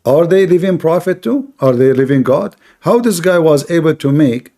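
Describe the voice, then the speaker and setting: Mellow-voiced guy hosting a call-in debate, keeps pushing "correct?" questions, broken grammar. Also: fairly high pitch, expressive, moderate pace. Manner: pressing, repeated questions with non-native grammar. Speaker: debate host. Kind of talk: live online debate.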